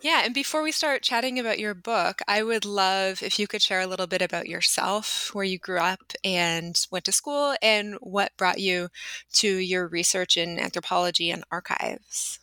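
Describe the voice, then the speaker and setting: Bright, crisp woman podcast host. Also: mid-range voice, moderate pace, no room sound. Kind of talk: scripted introduction, then interview questions.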